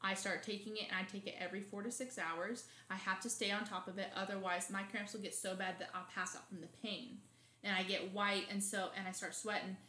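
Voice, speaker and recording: A woman's voice: mid-range voice, steady tone, brisk, sharp tone, echoey room. A young woman, co-hosting a casual podcast and telling dramatic stories with lots of laughter. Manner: chatty, dramatic storytelling with frequent laughs. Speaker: podcast co-host. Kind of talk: casual chat.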